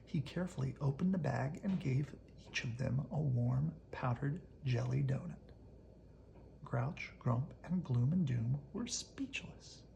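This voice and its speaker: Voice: medium pitch, measured pace, gravelly, mellow, a little hiss or hum. A storybook reader narrating expressively aloud for children, as if at bedtime.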